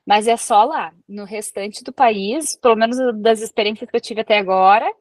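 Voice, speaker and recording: A Brazilian woman speaks with a Brazilian Portuguese accent in an easy, chatty way, illustrating her points with everyday examples. Her voice sits somewhat high for a woman. The sound is close and dry, with no room echo. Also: moderate pace. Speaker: guest researcher. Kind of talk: casual interview conversation.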